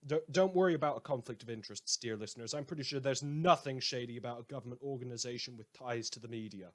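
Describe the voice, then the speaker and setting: A man's voice: medium pitch, fast talker, lightly acted. A man playing a sardonic, mock-grandiose radio host turned self-appointed lawman in a scripted audio drama monologue, rambling and repeating himself. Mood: wry, smug. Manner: sarcastic, rambling, mock-grandiose, hesitant repetitions.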